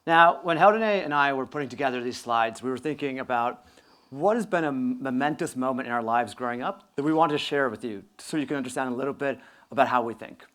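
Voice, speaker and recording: A man from northern Italy speaks on a conference stage through a stage mic. He tells his story fluently and earnestly, in a steady mid-pitched voice. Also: fairly quick. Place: conference stage before an audience.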